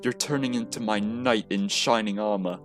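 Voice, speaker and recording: A man's voice: on the low side, steady tone, moderate pace, soft background music, lightly acted. A man voicing a sardonic, short-fused teenage schoolboy in a visual novel, blunt and sarcastic. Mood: teasing.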